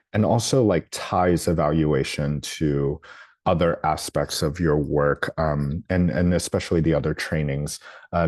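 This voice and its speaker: Low, mellow man presenting with many ums. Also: no echo, studio quality. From New Orleans, United States. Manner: hesitant, lots of ums and restarts. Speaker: workshop presenter. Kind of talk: live training presentation.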